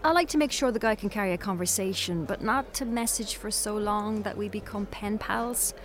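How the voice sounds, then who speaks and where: A woman's voice: mid-range voice, a crowd in the background, lightly acted. An actress with an Irish accent playing a frank, weary woman on a first date in an audio drama. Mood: wry. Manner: frank, dry, brisk. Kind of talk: naturalistic audio drama dialogue.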